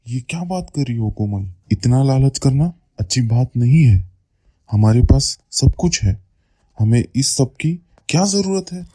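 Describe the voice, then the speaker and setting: A man acts out a henpecked husband in a cartoon folk tale. The voice is very dark and muted in tone, middling in pitch and dry, with a plain-spoken delivery. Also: lively intonation, unhurried, no echo. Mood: reluctant, placating. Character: reluctant henpecked husband, blustering when threatening. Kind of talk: Hindi cartoon moral story dialogue.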